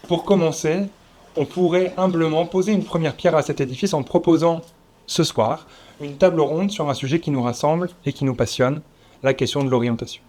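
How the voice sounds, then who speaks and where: A man moderating a round table before an audience, speaking in a measured, slightly formal way with occasional fillers. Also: on the high side, a little mellow. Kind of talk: formal event introduction. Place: conference room with audience.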